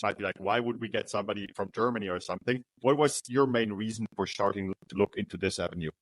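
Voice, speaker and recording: A man's voice: on the low side, quick pace, no room sound, rough recording. A man interviewing a business partner, asking long, chatty questions full of "you know" and "um". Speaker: interviewer for recruitment agency.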